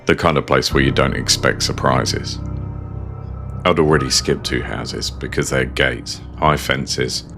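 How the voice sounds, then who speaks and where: A British man reading scripted first-person horror narration in a measured, understated and ominous manner. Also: extremely low pitch, steady tone, creaky, music in the background. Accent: British English.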